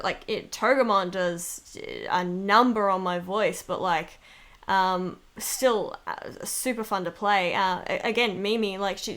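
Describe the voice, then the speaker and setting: A woman's voice: mid-range voice, a bit bright. A woman speaking casually as an interviewed voice actor, in a giggly, informal, rambling way.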